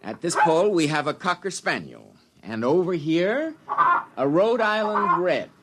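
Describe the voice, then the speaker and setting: Formal scientist amid loud noise. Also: male voice, fairly high pitch, very animated, unhurried. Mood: formal, brisk. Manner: formal, precise. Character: formal male scientist giving a laboratory demonstration. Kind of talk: 1950s radio drama acting. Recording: very noisy old radio recording, animal sounds.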